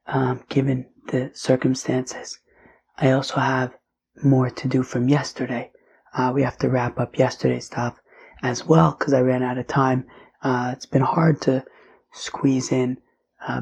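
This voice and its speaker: A man with a failing, hoarse voice teaching a daily Talmud lesson in a relaxed, chatty way, sometimes dropping to a whisper. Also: mid-range voice. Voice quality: raspy, drops to whispering. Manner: casual, with fillers and mixes in Hebrew and Aramaic terms. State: losing his voice, hoarse.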